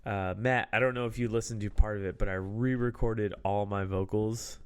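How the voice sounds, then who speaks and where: Smooth-voiced laid-back male podcast host. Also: lowish voice, fairly even intonation, normal speed. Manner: laid-back, rambling, laughs often. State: a little drunk. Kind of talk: casual chat.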